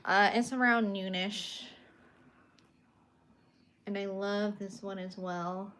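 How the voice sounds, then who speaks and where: A young woman from California, an artist casually chatting on a livestream from her bedroom as she shows her paintings and answers viewer comments. She is soft-spoken and a little awkward. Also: medium pitch, steady tone, slow.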